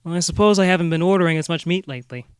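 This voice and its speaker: Guy playing polite bumbling small town sleuth, kinda high voice, halting, dry close sound, comedy radio play. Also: clear, resonant tone, no room sound, lightly acted. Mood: businesslike, halting. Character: earnest small-town amateur detective, polite, a little bumbling. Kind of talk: comic radio-drama acting.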